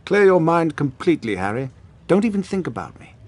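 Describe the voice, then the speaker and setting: An actor playing a vain, pompous celebrity wizard teacher in a video game, theatrical and self-congratulating. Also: male voice, on the high side, very animated, a little fast. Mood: smug, showy. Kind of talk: video game character acting.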